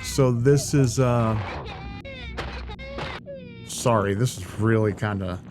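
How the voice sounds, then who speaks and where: A man's voice: mid-range voice, noisy background. A man hosting a video, giving casual reaction commentary with rambling, informal asides. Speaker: host, horror video creator. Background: music.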